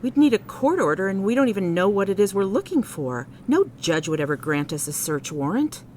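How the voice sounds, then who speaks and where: An ambitious, anxious woman investigator, played by an actress in a radio drama. Her delivery is lively and emphatic with a sardonic edge, over a faint rumble. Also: brisk, natural voice. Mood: curious, businesslike. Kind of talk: contemporary radio drama acting.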